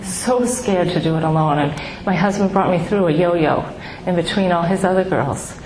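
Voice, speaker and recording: Soft-voiced woman in an echoey room. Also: on the low side. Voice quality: soft, small voice she calls baby-like. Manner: halting, many ums and restarts, heartfelt. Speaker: speaker at a grief support gathering. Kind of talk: personal testimony to an audience. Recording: echoey room with background noise.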